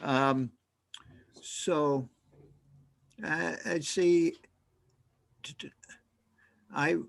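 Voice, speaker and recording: A man moderating a talk, speaking in a halting, hesitant way with many restarts. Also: fairly high pitch, steady tone, gravelly, no echo. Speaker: host.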